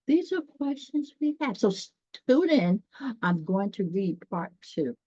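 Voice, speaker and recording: A woman reading a children's story aloud, pausing dramatically and chatting directly to her listeners. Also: mid-range voice, sing-song, warm tone, slightly rough, dry recording. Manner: dramatic pauses, gasps, talks directly to listeners. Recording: dull, dry lo-fi sound.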